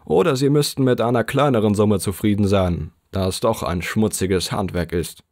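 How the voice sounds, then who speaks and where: A man's voice: lowish voice, normal speed, darkish tone, no echo, studio quality, close to an everyday voice. A man voicing a stern parish official who will not budge on a price in an audiobook. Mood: firm. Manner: curt, firm.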